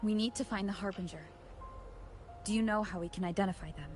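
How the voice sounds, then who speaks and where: A woman's voice: mid-range voice, fast, darkish tone, faint music behind, lightly acted. A woman playing an inquisitive, earnest companion on a quest in a video game. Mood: focused concern. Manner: curious, earnest. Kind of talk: dramatic video game voice acting.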